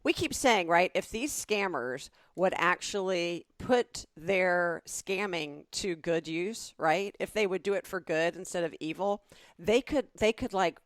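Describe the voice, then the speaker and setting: A woman's voice: fairly low pitch, expressive, a little slow, no echo, studio quality. A woman from the American South co-hosting a podcast, explaining things at length in a chatty way and checking in with "right?". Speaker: podcast co-host. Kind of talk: casual conversational explaining.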